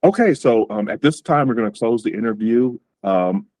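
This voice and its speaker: A man's voice: medium pitch, animated, moderate pace, a little mellow, no echo. A man hosting an interview over a video call, speaking casually with many fillers and repeated words. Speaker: host.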